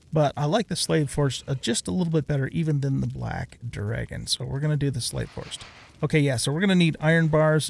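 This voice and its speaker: A man's voice: fairly high pitch, creaky. A middle-aged man giving casual let's play commentary, unhurriedly thinking aloud step by step.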